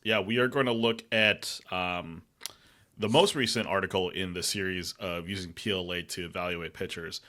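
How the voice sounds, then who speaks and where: Bright-voiced male podcast host. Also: low-pitched, steady tone. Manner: halting, many fillers and restarts. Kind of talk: casual analytic chat.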